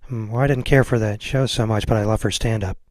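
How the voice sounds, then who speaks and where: A man's voice: fairly low pitch, fairly even intonation, fast, a little mellow, dry recording, studio quality. A man hosting a podcast in casual conversation, with an easygoing, agreeable way of talking. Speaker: podcast host. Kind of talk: casual chat.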